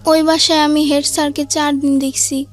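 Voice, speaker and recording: A woman's voice: high-pitched, flat delivery, normal speed. A schoolgirl with a Bangladeshi accent answering an interviewer's questions in brief, reserved replies. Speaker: young guest answering questions.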